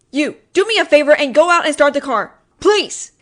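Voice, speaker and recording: A woman performs a female mafia boss in an audio roleplay, her voice pitched on the high side. She talks in wry wiseguy slang and sighs at the incompetence around her. Underneath the exasperation she is apologetic and protective. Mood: exasperated. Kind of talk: roleplay audio drama monologue.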